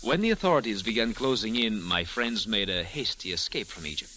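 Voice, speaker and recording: An actor playing a suave, smug foreign spy with deliberate, menacing politeness in an old-time radio drama. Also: male voice, fairly low pitch, expressive, pushed and bright. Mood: smooth, smug. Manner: suave, deliberate, with amused chuckles. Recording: noisy, hissy old radio recording.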